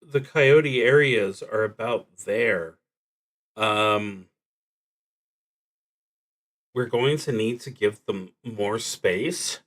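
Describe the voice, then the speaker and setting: Slow, halting man thinking aloud. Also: fairly low pitch, fairly bright tone. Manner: hesitant, halting, reflective. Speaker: streamer playing solo tabletop roleplaying games. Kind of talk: unscripted play-through commentary, thinking aloud.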